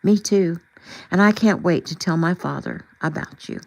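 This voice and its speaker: A humble and grateful man of faith in a storybook, acted out by a woman reader. He talks politely and modestly, with quiet devotion. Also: lowish voice, normal speed, gravelly, rough recording. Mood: hopeful.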